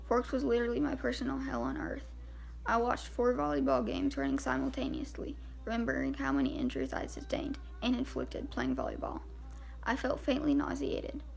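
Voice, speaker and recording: A woman reading a first-person novel aloud as an amateur audiobook narrator, with a very creaky, gravelly voice. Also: fairly high pitch, low-quality recording.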